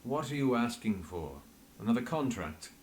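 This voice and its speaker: An actor playing a measured, earnest male investigator in a video game, formal and tactful. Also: mid-range voice, big pitch swings, a little mellow, a little hiss or hum, natural voice. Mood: composed, firm. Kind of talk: dramatic video game voice acting.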